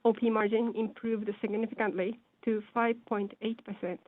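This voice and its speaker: A woman's voice: mid-range voice, level tone, no room sound. Woman on a narrow phone-like conference line. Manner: measured, businesslike reading. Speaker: presenter on an earnings call, speaking as or interpreting for a company executive. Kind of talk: formal scripted financial presentation.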